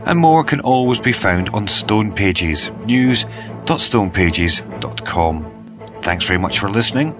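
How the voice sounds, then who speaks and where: A British man hosting a news podcast, reading the bulletin in a croaky voice from a cold and spelling out web addresses slowly. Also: on the low side, lively intonation, music in the background. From Britain.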